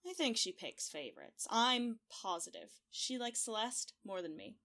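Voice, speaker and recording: A woman's voice: on the high side, animated, moderate pace, bright, forceful tone. Wry, teasing young court lady. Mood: matter-of-fact. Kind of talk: audio drama acting.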